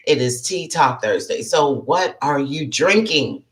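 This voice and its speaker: Slow low-voiced woman with allergy-froggy throat. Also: expressive. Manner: chatty, greets viewers by name, clears throat often. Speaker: psychic life coach hosting a live show. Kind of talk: casual live-stream chat.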